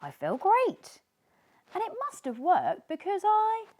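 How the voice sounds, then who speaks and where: A woman voicing a lonely, timid young male fish in a storybook, plaintive and expressive. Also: very high voice, slow, voice pushed up, full character voice. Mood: excited.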